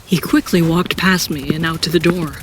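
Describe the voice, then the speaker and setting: A woman's voice: low-pitched, fairly even intonation, normal speed, slight background noise, close to an everyday voice, low-quality recording. A woman as a reflective warrior narrator. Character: introspective seasoned warrior narrating her own story. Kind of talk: first-person scripted audio drama narration.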